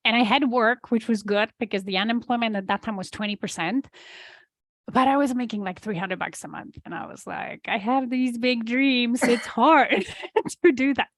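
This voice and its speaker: A woman's voice: on the high side, moderate pace, dry recording. A middle-aged woman with a Polish accent, a business coach telling her story as a podcast guest, easygoing and laughing lightly. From Poland.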